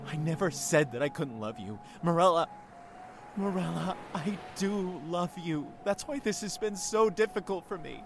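An earnest young man devoted to his love. He speaks hesitantly and tenderly in heartfelt audio drama style, with music clearly behind him. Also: sits high, lively intonation, moderate pace. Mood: tender, pained.

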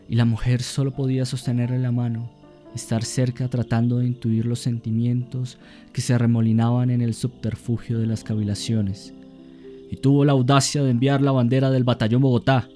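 A man narrating a scripted radio drama in a solemn, theatrical way with measured phrasing. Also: mid-range voice, dark tone.

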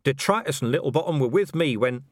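A man voicing a gruff, exasperated middle-aged commander who talks bluntly and indignantly in an audiobook. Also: medium pitch, expressive, fast talker, no echo, clean studio sound, voice pushed up, natural voice. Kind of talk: audiobook character voice.

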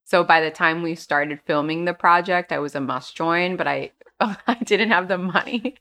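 A young woman from New York with a deep, flat-sounding voice and a New York accent, telling stories casually as an interview guest. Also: normal speed. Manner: casual storytelling, self-deprecating, many "um" and "like". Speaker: actress, podcast guest.

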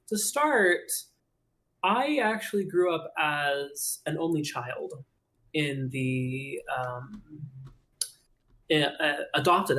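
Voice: medium pitch, a bit bright, rough recording. A young adult podcast guest who tells a personal story in a playful, chatty way.

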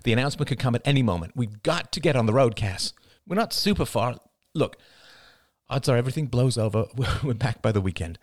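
A man playing a father in an audiobook, brisk and reassuring with a wry, playful side. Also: mid-range voice, expressive, no room sound, studio quality, voice pushed up, lightly acted. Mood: urgent, reassuring. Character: tense but teasing, reassuring father. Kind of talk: audiobook character voice.

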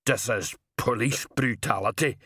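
Man as a dim troll craftsman, grudging, muttering, broken grammar, mid pitch, dry storybook recording. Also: unhurried, sharp tone, slightly rough, dry recording. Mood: aggrieved. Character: slow-witted, grudging male troll potter.

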